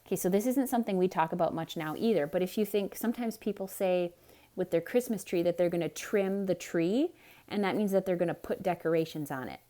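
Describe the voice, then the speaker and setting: A woman reading a children's story aloud in a theatrical, expressive way, speaking directly to young listeners with explanatory asides. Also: lowish voice, a little fast.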